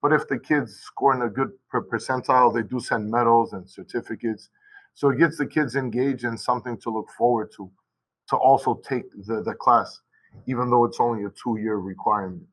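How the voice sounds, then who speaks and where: Rambling male teacher on a video call, casual panel talk, mid-pitched, lots of restarts and asides. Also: brisk, low-quality recording. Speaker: high school Portuguese teacher. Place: school.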